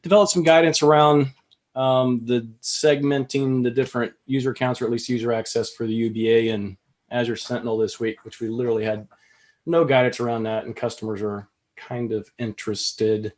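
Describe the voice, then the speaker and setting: A man's voice: mid-range voice, fairly even intonation, fairly quick, darkish tone. Chatty rambling male podcast co-host. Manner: chatty, self-deprecating, rambling with fillers. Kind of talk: casual podcast chat.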